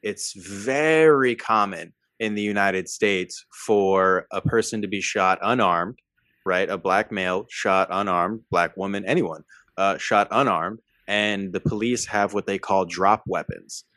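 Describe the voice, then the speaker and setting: A young adult man co-hosting a podcast, talking in an animated, emphatic way and often tagging points with "right?". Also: low voice, a little slow, no room sound. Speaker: podcast co-host. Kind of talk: casual political discussion.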